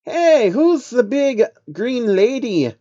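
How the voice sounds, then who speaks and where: A man voicing a naive, excitable male insectoid pony creature from a fan storybook reading, curious and wide-eyed. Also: very high-pitched, slow, highs cut off, pitched above the performer's own voice, full character voice.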